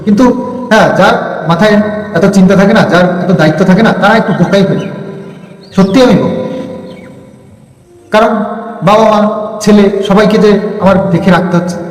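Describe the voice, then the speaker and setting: A young man speaks in a high voice, addressing viewers like a vlogger. His delivery is halting, with words repeated for emphasis. The room rings with echo and long reverb. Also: steady tone. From India, Bengal region. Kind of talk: casual vlog talk, airing personal grievances.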